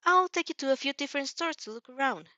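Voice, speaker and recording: A woman's voice: high-pitched, lively intonation, fast talker, pushed and bright, dry recording, voice pushed up. A woman voicing a shy, kindly teenage boy in a story, hesitant and apologetic. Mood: nervous, helpful. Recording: dry, slightly dull lo-fi recording.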